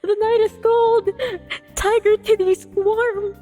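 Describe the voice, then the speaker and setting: A playful, giggly, expressive woman streamer chatting and reading a visual novel aloud on a livestream. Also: very high voice, takes it slowly, faint music behind.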